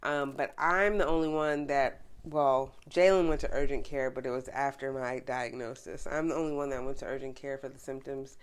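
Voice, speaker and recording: A woman's voice: rumbling low, fairly even intonation, moderate pace, few pauses, smooth. A young woman co-hosting a casual podcast with her partner, congested from a cold, chatty and teasing. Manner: chatty, teasing, reads search results aloud. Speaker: podcast co-host. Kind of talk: casual couple chat.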